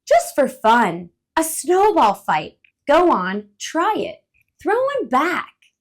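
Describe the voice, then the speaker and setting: Picture-book bird, as a woman puts it on: playful, patient, sing-song, high and lively. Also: slow pace, heavily acted. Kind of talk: storybook read-aloud voice.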